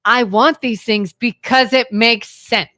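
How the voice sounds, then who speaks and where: A man's voice: very high-pitched, steady tone, slow, bright, forceful tone, no echo. A man co-hosting a studio talk show, mostly agreeing and adding short points in casual political talk. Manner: agreeable, short backchannel replies.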